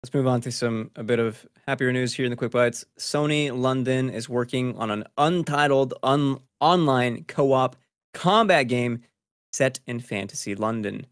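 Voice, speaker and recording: A man's voice: medium pitch, normal speed, clear, resonant tone, no room sound. A man presents video game news as a podcast host, in a mid-range voice. He talks informally and slangily, like he is chatting with co-hosts. The sound is close and dry. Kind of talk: casual news chat among co-hosts.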